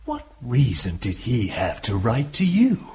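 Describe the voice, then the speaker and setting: An actor playing an inquisitive, sceptical gentleman in a period radio drama, probing and deliberate. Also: mid-range voice, wildly swooping pitch, a little hiss or hum. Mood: scornful. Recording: dull lo-fi audio.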